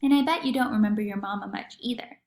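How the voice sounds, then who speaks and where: A woman's voice: medium pitch, fast talker, darkish tone, voice pushed down, natural voice. A woman voicing an earnest, hopeful ten-year-old girl in a storybook reading. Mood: confiding. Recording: echoey room.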